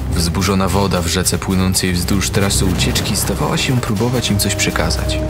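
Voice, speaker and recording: A man delivers dramatic fantasy narration as a storyteller. His voice is low. Loud music runs behind the scripted read.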